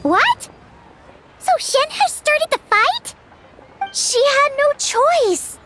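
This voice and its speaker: A woman playing a lively, earnest teenage girl cook in a video game, chatty and expressive. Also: very high voice, extremely slow, loud music behind the voice, heavily acted. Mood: agitated. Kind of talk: animated video game acting.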